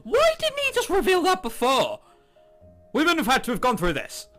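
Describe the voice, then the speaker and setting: A man's voice: very high voice, huge pitch swings, normal speed, bright, forceful tone. A man giving jokey, incredulous commentary as he reads a visual novel aloud. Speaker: game streamer host. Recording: music playing behind. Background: game background music.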